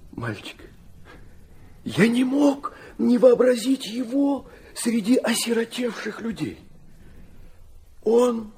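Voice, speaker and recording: An actor playing an anguished, idealistic middle-aged nobleman in a radio drama, confiding and philosophizing in a theatrical, halting manner. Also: sits high, darkish tone, full character voice. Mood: anguished, reverent.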